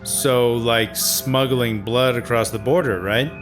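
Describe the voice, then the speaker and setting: An actor in a radio drama playing an uneasy informant with a nervous, placating manner. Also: male voice, medium pitch, fairly even intonation, takes it slowly, music in the background, close to an everyday voice. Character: uneasy male informant trying to placate others.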